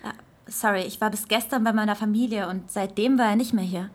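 An actress playing a polite, slightly awkward young flatmate, speaking hesitantly and noncommittally in a naturalistic radio drama. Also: female voice, mid-range voice, a little fast, lightly acted.

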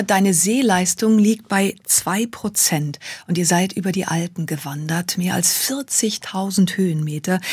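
A female radio host with a slightly husky voice asks friendly, lively questions. She speaks at a middle pitch, recorded dry and close in the studio. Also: no room sound. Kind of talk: radio interview.

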